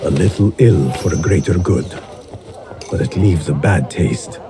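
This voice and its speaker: An actor playing a quiet, watchful young male assassin who muses calmly. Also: a little slow, over music. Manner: low, calm, reflective. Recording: game audio with strong score.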